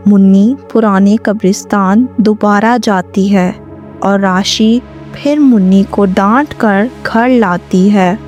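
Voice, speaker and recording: A woman reads a scripted story as narrator. Her pace is very unhurried and her pitch is mid-range. Music plays behind the voice throughout. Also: a little mellow.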